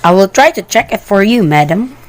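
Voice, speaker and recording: A woman's voice: medium pitch, expressive, brisk, faint music behind, natural voice. A woman playing a polite, impassive switchboard operator in an amateur radio play, speaking formally. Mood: polite, detached. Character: polite, unhelpful female telephone switchboard operator.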